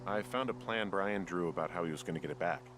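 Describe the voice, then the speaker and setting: An American actor playing an earnest, dryly humorous male fire lookout in a video game, speaking in a conversational, halting way. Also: low voice, over music, close to an everyday voice. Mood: matter-of-fact. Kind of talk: naturalistic video game voice acting.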